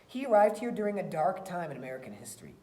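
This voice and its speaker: A woman teacher reading aloud and talking to students in a deliberate, emphatic way. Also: lowish voice, very animated, warm tone. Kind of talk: expressive read-aloud and lesson talk for children. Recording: roomy, echoey sound.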